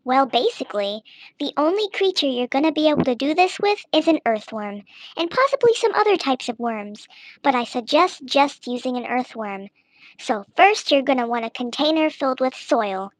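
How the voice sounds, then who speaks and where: A woman's voice: sits high, sing-song, moderate pace, dry recording. A woman casually reading a how-to guide aloud in a mock-instructional manner. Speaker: narrator reading an online text aloud.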